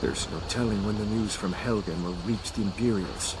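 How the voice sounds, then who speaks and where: An actor playing a steady, loyal male rebel soldier in a video game. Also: fairly low pitch, normal speed, noisy background, lightly acted. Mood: relieved, guarded. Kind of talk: scripted video game acting. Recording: loud music over dialogue. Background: loud game music.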